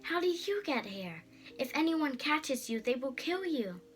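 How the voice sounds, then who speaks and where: A woman's voice: high-pitched, very animated, unhurried, faint music behind, pitched above the performer's own voice. A young female reader voicing an earnest, lovestruck young noble girl in a storybook, expressively. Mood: alarmed.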